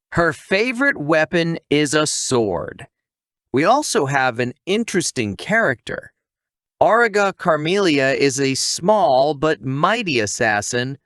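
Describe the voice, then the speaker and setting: Lively male recap narrator, dry close sound. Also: a little high, dry recording. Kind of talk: scripted anime recap narration.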